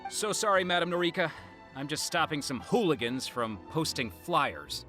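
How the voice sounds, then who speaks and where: An actor voicing a stern, stubborn, officious police officer in a video game. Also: male voice, fairly high pitch, animated, normal speed, bright, forceful tone, faint music behind. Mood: apologetic. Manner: accusing, officious.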